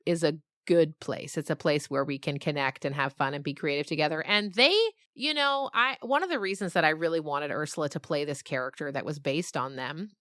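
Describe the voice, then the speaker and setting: Female podcast guest, middle-aged, lowish and bright, recorded dry, chatting thoughtfully and telling stories with animation. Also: a little fast, dry recording. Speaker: interview guest, podcast creator. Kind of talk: casual, reflective interview talk.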